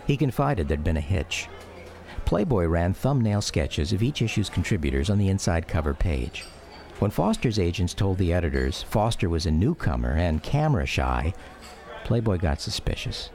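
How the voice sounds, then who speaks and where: A man's voice: sits low, very animated, moderate pace, darkish tone, faint music behind. A man acting as a radio drama narrator, a grown son wistfully recounting memories of his father in scripted memoir style. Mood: reflective.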